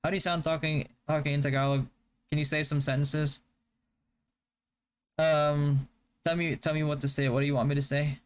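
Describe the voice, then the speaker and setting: A young man from the Philippines whose first language is Hiligaynon, chatting casually on a live stream and answering viewer questions in a relaxed, stop-start way. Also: on the high side, normal speed, long pauses, clear, resonant tone. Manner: relaxed, stop-start, with frequent fillers and restarts. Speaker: game streamer.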